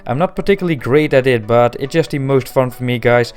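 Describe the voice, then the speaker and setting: Young Dutch man, smooth clear voice. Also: mid-range voice. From Netherlands. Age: 20s. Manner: plain, matter-of-fact, frequent "uh" pauses. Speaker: gaming video creator. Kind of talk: casual Q&A voice-over.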